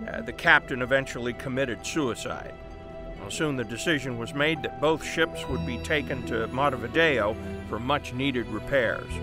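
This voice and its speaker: An actor playing a brisk, persuasive newspaper boss in an audio drama, confident and explanatory. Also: male voice, mid-range voice, music in the background, close to an everyday voice. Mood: brisk enthusiasm. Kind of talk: family audio drama acting.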